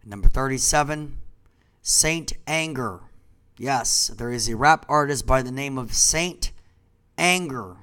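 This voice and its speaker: Stuffed-up man, loose rambling monologue, drifts into mumbled asides, medium pitch. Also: slow pace, clear, resonant tone. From Washington, D.C. area. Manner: rambling, trailing off into quiet asides. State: congested. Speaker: company founder.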